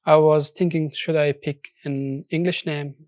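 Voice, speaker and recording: A man's voice: on the high side, flat delivery, darkish tone, no echo. Earnest man from Afghanistan, dry studio sound. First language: Persian. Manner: earnest, halting non-native English with restarts. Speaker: social enterprise founder. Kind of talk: studio interview.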